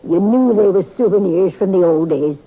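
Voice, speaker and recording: A woman's voice: medium pitch, moderate pace, breathy edge, lightly acted. An actress playing a shrewd, kindly, plain-spoken old frontier woman in a 1950s radio western. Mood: knowing. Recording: tinny, narrow old radio broadcast.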